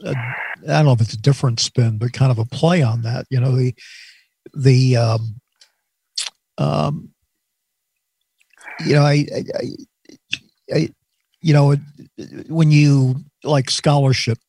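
A man's voice: medium pitch, takes it slowly, creaky, long pauses, mellow, breathy edge, dry recording. A man in a casual group talk who speaks hesitantly, circling before he gets to his point. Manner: hesitant, many fillers and restarts.

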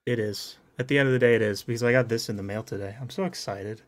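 Male host, mid-pitched, upbeat and enthusiastic, loose conversational delivery. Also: fast, crowd noise. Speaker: podcast host and game master. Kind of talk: casual chat.

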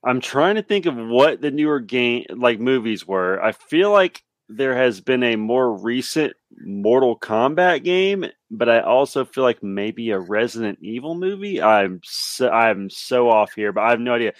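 A man's voice: mid-range voice, animated, unhurried, few pauses, no room sound. A man chatting casually as a podcast co-host. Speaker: podcast co-host and quiz contestant. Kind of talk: casual chat.

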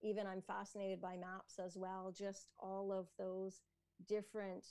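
A woman's voice: mid-range voice, monotone, unhurried, no echo. A Canadian woman, an entrepreneur and educator, talking thoughtfully in an interview with many "um"s and "you know"s. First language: English. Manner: thoughtful, many fillers, soft laughs. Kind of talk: conversational interview answer.

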